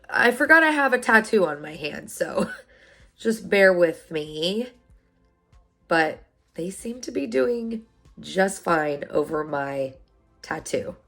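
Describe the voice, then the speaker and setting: A woman in her thirties, a beauty vlogger, sounding hoarse and stuffed up from a sinus infection while chatting upbeat straight to her viewers about products. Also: fairly low pitch, fairly bright tone. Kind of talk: product unboxing review.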